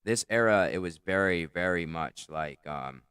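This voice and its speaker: A man's voice: deep voice, animated, unhurried, fairly bright tone, smooth, dry recording, clean studio sound. A man with a powerful, carrying voice, casually reviewing his own comedy set in a rambling, profane, self-critical way. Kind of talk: casual commentary.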